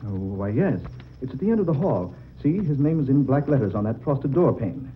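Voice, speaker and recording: An actor playing an earnest, excitable man exploring a museum, with theatrical delivery in a 1940s radio horror style. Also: mid-range voice, fairly quick, close to an everyday voice. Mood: puzzled. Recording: tinny, noisy vintage radio recording.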